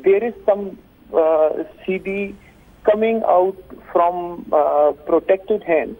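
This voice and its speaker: A thin phone line carries a man's high-pitched voice with a Pakistani accent. He talks like a lawyer in an interview, formal and legalistic, halting yet insistent.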